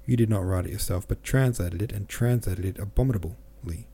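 A man's voice: on the low side, brisk, warm tone, slightly rough. A man voicing a hot-tempered governor in an audiobook, scolding and threatening. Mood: furious. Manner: angry, scolding.

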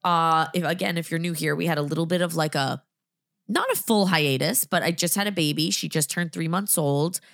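A woman hosts a relaxed podcast chat, her voice hoarse and nasal with a gravelly edge. She sits a little low in pitch. She talks in a chatty way, full of quick asides. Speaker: podcast host. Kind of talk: casual chat.

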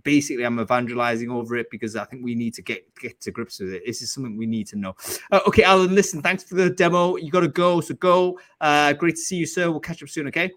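A man hosting a live online show, his voice sitting a little higher than most men's. He talks with eager, chatty enthusiasm, full of ums and quick restarts. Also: a bit bright, no echo. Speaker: host of a live recruiting show. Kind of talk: casual live-stream chat.

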